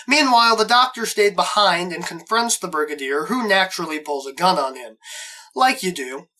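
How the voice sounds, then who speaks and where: A man's voice: high voice, sharp tone, rough recording. A man hosting a scripted review, narrating with a fan's enthusiasm and wry asides. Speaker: host, reviewer.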